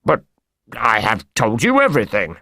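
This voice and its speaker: A man's voice: medium pitch, wildly swooping pitch, moderate pace, gravelly, bright, forceful tone, no echo, clean studio sound, full character voice. A man voicing a very fat, frightened, evasive man in an audiobook, pleading and exclaiming. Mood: worried, pleading. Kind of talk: audiobook character voice.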